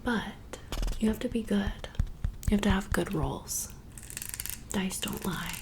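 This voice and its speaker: A woman playing a friendly teenage girl in an ASMR roleplay, whispering softly in a chatty, welcoming way. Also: medium pitch, takes it slowly, lightly acted. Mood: explaining.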